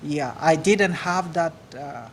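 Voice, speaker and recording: A man from Uganda with a Ugandan accent, answering audience questions in a halting, earnest way at a live speaking event. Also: on the high side, slight background noise. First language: a Ugandan language. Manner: earnest, halting, repeats words. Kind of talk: public speaking Q&A.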